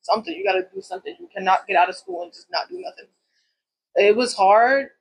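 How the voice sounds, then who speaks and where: A woman's voice: mid-range voice, big pitch swings, quick pace, husky, rough recording. A woman in her thirties from Puerto Rico, a salon owner telling her story in a loose, chatty interview style with plenty of fillers.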